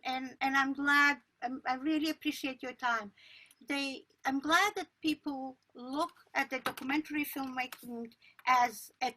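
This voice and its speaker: A woman's voice: sits high, sharp tone, low-quality recording. A woman researcher, Albanian her first language, speaking at an academic panel in an earnest way, often stopping to correct herself. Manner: earnest, many restarts and self-corrections.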